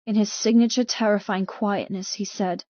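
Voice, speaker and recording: A woman's voice: mid-range voice, steady tone, normal speed, darkish tone, no room sound, muffled recording. A woman narrating a dramatic first-person novel as a frightened captive. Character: frightened captive young woman telling her story. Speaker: audiobook narrator.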